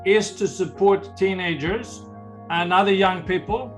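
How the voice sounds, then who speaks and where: An older man with a Swedish accent and a high-pitched voice talks earnestly in an interview. He explains things patiently and sometimes restarts his phrases. Soft music plays underneath. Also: steady tone, a little slow. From Sweden. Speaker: ocean conservation leader. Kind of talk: interview answers.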